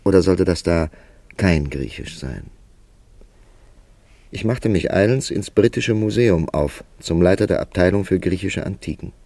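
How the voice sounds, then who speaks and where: An actor playing a thoughtful, calculating male writer who tells his story in a deliberate, literary way in a German radio play. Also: sits low, warm tone, no echo, heavily acted. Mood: bewildered. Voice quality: creaky, gravelly.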